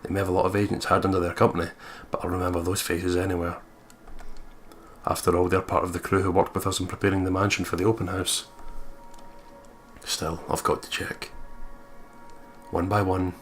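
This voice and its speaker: A man's voice: low voice, flat delivery, brisk, soft background music. A man reading visual novel narration aloud and breaking into jokey, profane commentary as a gaming co-host. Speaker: let's play co-host.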